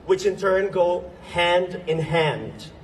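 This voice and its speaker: Young male rally speaker, earnest, a bit halting, slightly high voice, phone-recorded from afar outdoors. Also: distant mic, slight background noise. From Venezuela. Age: young adult. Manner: earnest, halting at times, with ums and restarts. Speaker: speaker at a protest march. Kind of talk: impassioned rally speech. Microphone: phone recording from the crowd.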